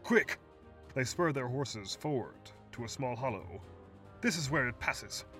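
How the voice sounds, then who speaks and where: A man's voice: medium pitch, expressive, unhurried, bright, forceful tone, distant mic, soft background music. A man voicing a grim knight out hunting a dragon, crying out urgently and tensely.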